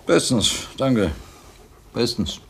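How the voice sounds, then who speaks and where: A man acting a taciturn gamekeeper and old friend in a radio drama, talking in short, halting, guarded phrases. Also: mid-range voice, big pitch swings, creaky, slight background noise, full character voice. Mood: easygoing, brief.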